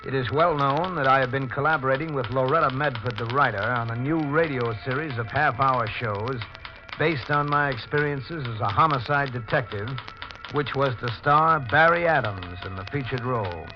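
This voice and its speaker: An actor playing a stern, methodical homicide lieutenant in a 1940s radio drama, speaking in a deliberate, precise, dramatic way. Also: male voice, mid-range voice, lightly acted. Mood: grave, precise. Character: stern, methodical male police homicide lieutenant giving testimony. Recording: muffled 1940s radio recording with music.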